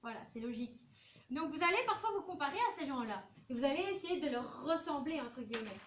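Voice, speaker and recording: Woman, high voice, schoolteacher, chatty classroom lesson, echoey room, clear background noise. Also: expressive, fast talker. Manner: informal, chatty, role-plays examples of what teenagers say. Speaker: school teacher. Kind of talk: classroom lesson, addressing pupils. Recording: noisy echoey classroom, distant microphone.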